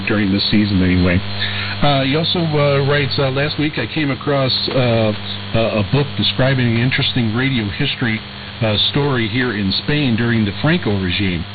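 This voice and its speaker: A man hosting a casual radio mailbag segment, reading listener emails and commenting in a halting, hesitant way with many uhs and repeated words. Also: lowish voice. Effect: shortwave radio transmission. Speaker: radio show host. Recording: hissy narrowband shortwave radio reception, clear noise.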